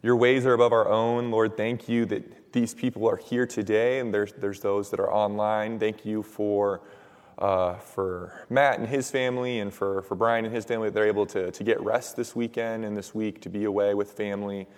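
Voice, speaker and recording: A young man with a hoarse, raspy voice preaching and praying in casual, earnest words with many fillers. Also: fairly low pitch. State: hoarse from shouting at camp. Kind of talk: sermon with prayer. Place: church hall. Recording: long reverb of a church hall.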